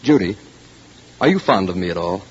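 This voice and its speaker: A man's voice: low voice, animated, moderate pace, husky. An actor playing a pompous, condescending male writer and intellectual in a 1940s radio drama. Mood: earnest, romantic. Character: pompous, smooth-talking male intellectual writer courting a young woman. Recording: muffled, noisy 1940s radio recording.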